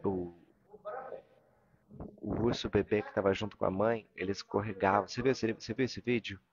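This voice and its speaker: A man with a Brazilian Portuguese accent gives a live interpretation of a morning religious talk, halting and repeating phrases as he searches for words. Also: fairly low pitch, steady tone, muffled recording. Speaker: interpreter of a spiritual discourse.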